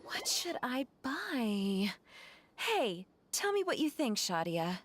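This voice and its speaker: A woman's voice: on the high side, sing-song, slow pace, a little hiss or hum. A woman voicing a chatty young woman shopping in a video game, musing and lilting.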